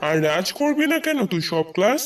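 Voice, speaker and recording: A man's voice: very high voice, big pitch swings, a little fast. An inquisitive young schoolboy monkey in a cartoon, played by a man. He speaks casually like a close pal, a bit away from the microphone over faint music. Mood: chummy. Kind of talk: cartoon character dialogue. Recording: distant voice with faint background music.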